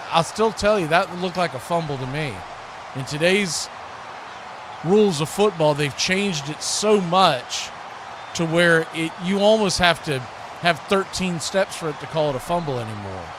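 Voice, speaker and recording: American man, slightly high voice, live football play-by-play, folksy and conversational, clearly noisy stream audio. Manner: conversational, folksy, rises to excited shouts on big plays. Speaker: play-by-play sports commentator and stream host.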